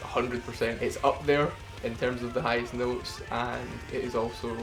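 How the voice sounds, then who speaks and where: A middle-pitched man explains how he sang a cover, drifting off-topic and swearing casually as he goes. He is self-deprecating about his own skills. A song plays clearly underneath his talk. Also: little pitch movement, moderate pace, over music. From United Kingdom. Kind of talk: casual vocal breakdown. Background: song playing underneath.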